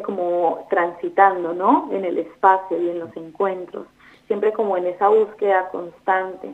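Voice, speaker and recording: A young woman, a guest in an interview, speaking thoughtfully with many hesitations and restarts. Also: medium pitch. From Colombia. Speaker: guest, foundation volunteer. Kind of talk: interview over the phone. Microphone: phone. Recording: tinny phone line.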